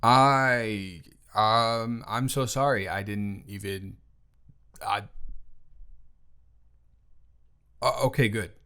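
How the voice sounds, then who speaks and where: Man acting a halting, caring young guy. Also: fairly low pitch, pushed and bright, smooth, exaggerated voice. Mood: flustered, concerned. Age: young adult. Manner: halting, rambling, conversational. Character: caring, awkward 21-year-old man, younger brother's best friend. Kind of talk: intimate audio roleplay acting, casual naturalistic speech.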